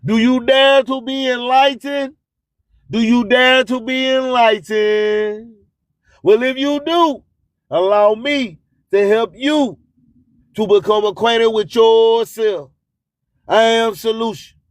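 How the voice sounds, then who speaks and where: A male radio host speaks in a high voice at a slow, deliberate pace. He delivers motivational spoken word with preachy emphasis, returning to catchphrases. The sound is close and dry. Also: fairly even intonation, dry recording.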